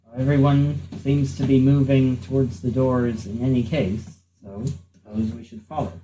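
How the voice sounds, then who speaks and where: A man's voice: medium pitch, little pitch movement, moderate pace, warm tone. A man playing a tabletop game, talking casually, at times in character. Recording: echoey room with faint noise.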